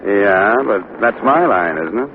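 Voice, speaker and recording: Wry deadpan american 1950s radio private eye, clipped and low, tinny old recording. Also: male voice, measured pace, noisy background. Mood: teasing. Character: wry, dogged male insurance investigator narrating his case. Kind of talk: 1950s radio detective drama acting.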